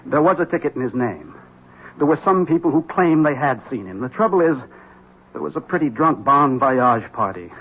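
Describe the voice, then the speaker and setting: An actor playing an agitated, desperate husband in an old-time radio drama, speaking with intense, insistent urgency. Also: male voice, fairly high pitch, lively intonation, moderate pace, slightly rough. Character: agitated, desperate husband convinced his wife is a witch. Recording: dull lo-fi vintage radio recording.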